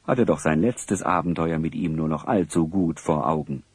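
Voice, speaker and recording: A man narrating a scripted audio drama as a storyteller, with a husky voice. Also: a bass voice, moderate pace, no room sound.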